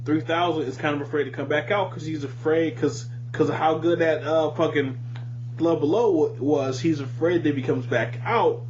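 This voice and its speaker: A man's voice: on the high side, normal speed, darkish tone. A man hosting a podcast, chatting casually and bluntly about music with plenty of swearing. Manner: informal, profane, opinionated. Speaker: podcast host. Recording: muffled podcast audio with light hum.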